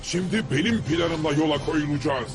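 A man's voice: fairly high pitch, normal speed, gravelly. A man voicing a scornful, commanding octopus-faced alien villain in a dubbed cartoon. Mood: commanding anger. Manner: commanding, contemptuous. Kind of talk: animated cartoon villain acting. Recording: loud music behind the voice. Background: loud music.

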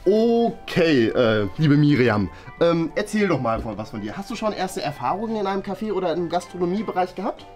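A young man as excitable café-owning dad, lively swooping pitch, stammering, hesitant, family comedy sketch, music behind. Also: brisk, hardly any pauses. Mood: eager, polite. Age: young adult. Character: flustered, eager family father running a small café. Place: small indoor office room.

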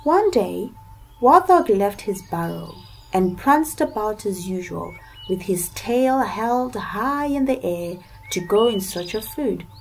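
A woman narrating a scripted folktale as an expressive storyteller, with deliberate emphasis. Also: medium pitch, faint music behind, low-quality recording.

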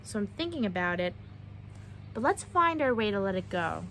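A woman's voice: mid-range voice, very animated, normal speed, fairly bright tone, a little hiss or hum. A young adult woman reading a children's book aloud expressively, often putting questions to her young listeners. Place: outdoors on a wooden backyard deck.

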